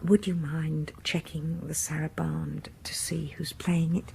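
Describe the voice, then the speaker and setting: A woman's voice: sits low, level tone, unhurried, darkish tone, a little hiss or hum, heavily acted. An actress playing a shy, devoted classical music fan in a radio horror drama, a genteel, anxious British woman who speaks in a hesitant, polite, confiding way. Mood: nervous confiding. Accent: British English. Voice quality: breathy.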